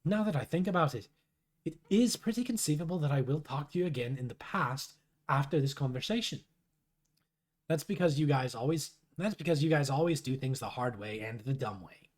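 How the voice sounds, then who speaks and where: A man's voice: a little high, lively intonation, fairly quick. A man voicing a haughty, reluctant female alien troll from a webcomic, condescending and weary in a stilted way. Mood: condescending, irritated.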